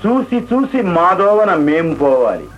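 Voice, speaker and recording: An actor playing a pushy, leering landlord who patronises dancers, with loud, declamatory, teasing delivery in a theatrical radio play. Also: male voice, high voice, huge pitch swings, a little slow, soft background music, heavily acted. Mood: flamboyant, complaining. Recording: dull, noisy old radio play recording.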